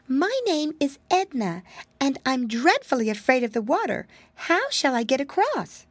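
A woman's voice: high-pitched, big pitch swings, normal speed, no room sound, pitched above the performer's own voice. Woman doing a timid little girl mouse. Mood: anxious. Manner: fretful, breathless worrying.

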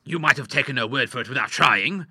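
A man's voice: medium pitch, lively intonation, fairly quick, sharp tone, dry recording, exaggerated voice. A man voicing a courteous, fussy middle-aged doctor and father who is easily ruffled, in an audiobook. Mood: vexed, dry. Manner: proper, courteous, exclamatory when vexed. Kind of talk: audiobook character voice.

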